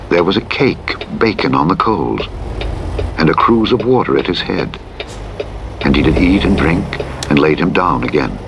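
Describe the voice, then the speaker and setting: A man reading scripture as a scripted narration, in a measured, solemn and declamatory manner. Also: lowish voice. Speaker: audiobook narrator. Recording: clear vehicle noise over a radio playback. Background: truck engine and driving noise from a simulator game.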